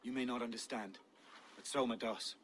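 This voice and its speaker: Guy doing a mystical viking dreamer, medium pitch, solemn n dramatic, video game scene, bit of background ambience. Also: fairly even intonation, normal speed, slight background noise, lightly acted. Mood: solemn, fervent. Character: mystical Viking seer driven by visions, earnest. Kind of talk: video game cutscene dialogue.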